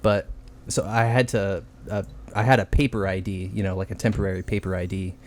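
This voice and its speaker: A young man from Texas talking in a low, unhurried register as he co-hosts a casual podcast. He rambles through a story with plenty of "uh" and "um" and drops swear words freely, in an easygoing, loose manner. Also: flat delivery. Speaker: podcast co-host. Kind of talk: casual chat.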